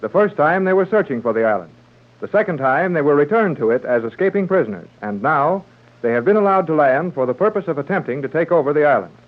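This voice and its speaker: A man's voice: fairly high pitch, expressive, quick pace. A man narrating a 1930s radio adventure serial as its announcer. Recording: muffled, narrow-band old radio recording.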